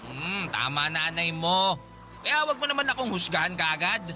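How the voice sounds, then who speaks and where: Smug young boyfriend, high for a man, piercingly bright, radio drama, faint noise. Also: expressive, moderate pace, faint music behind, full character voice. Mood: smug, teasing. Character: cocky, smooth-talking young tricycle-driver boyfriend, muscular layabout. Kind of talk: Tagalog radio drama acting.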